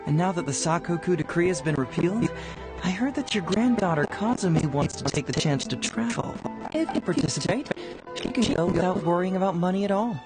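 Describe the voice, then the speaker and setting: A young man with a very animated, swooping intonation, crafty yet affable. He coaxes politely and playfully as a video game official, with loud music underneath. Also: sits high, brisk, rough recording. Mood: persuasive.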